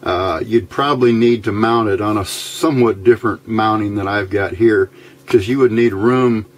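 A man giving a casual technical tutorial in a plain-spoken, methodical way, with hesitant pauses, as an electronics hobbyist at a workbench. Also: lowish voice. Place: indoors at a workbench.

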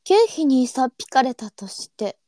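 Woman acting soft, high-voiced melancholy courtesan. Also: normal speed, dry recording, studio quality, close to an everyday voice. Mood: resigned, reflective. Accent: courtesan arinsu dialect. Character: melancholy, tender-hearted adult courtesan of old Japan. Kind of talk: online live voice drama acting.

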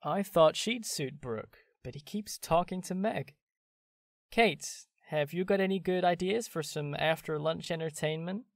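A woman's voice: lowish voice, measured pace, no echo. A woman voicing a cheerful, teasing young man in an audio drama, breezy and friendly, with a dry close sound.

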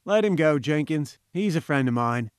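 A man's voice: fairly high pitch, expressive, moderate pace, clean tone, no room sound, clean studio sound, close to an everyday voice. A man voicing a genial, wry journalist in a storybook, friendly but firm, with breezy old-chap slang.

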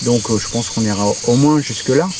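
A man's voice: medium pitch, fast talker. Middle-aged man, off-mic outdoors. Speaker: interviewee showing his camper van. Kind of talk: casual explanatory chat. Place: outdoors at the open back of a van in a grassy field. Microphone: handheld camera a step or two away. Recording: distant mic, clear outdoor noise.

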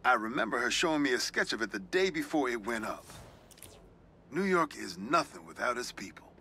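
An actor playing a proud, warm newspaperman of mature years, folksy and wry, in a video game. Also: medium pitch, sing-song, a little fast, a little hiss or hum. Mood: fond, proud. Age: middle-aged. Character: warm, proud older newspaperman who loves his city. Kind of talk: video game voice acting, spoken commentary.

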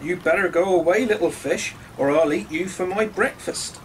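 A man's voice: high voice, normal speed, a little hiss or hum, pitched above the performer's own voice, lightly acted, low-quality recording. A man voicing a hungry, threatening seagull in a children's storybook, snappish and menacing.

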